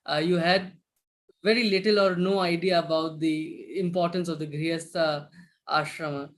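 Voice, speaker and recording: High-voiced interviewer man, polite and hesitant. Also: steady tone, moderate pace. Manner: polite, hesitant, many fillers and restarts. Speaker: interviewer host. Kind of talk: live-streamed interview.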